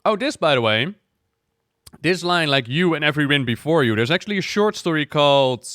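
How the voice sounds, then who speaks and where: A man's voice: a little high, animated, fairly quick, fairly bright tone, dry recording, clean studio sound. Clean-voiced man giving enthusiastic game commentary. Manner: enthusiastic, effusive. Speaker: video game lore reactor. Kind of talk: casual reaction commentary.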